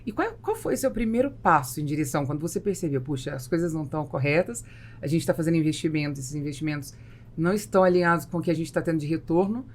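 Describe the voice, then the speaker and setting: A woman's voice: sits low, expressive, fast talker, a little mellow, slight background noise. Brazilian woman hosting a conversational podcast. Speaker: podcast host. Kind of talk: conversational business podcast interview.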